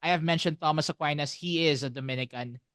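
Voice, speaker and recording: A man with a fairly high, very clear voice, recorded close and dry. He explains things as a podcast co-host in a casual, halting way, often repeating himself. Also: a bit bright, no room sound. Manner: halting, circles back and repeats phrases.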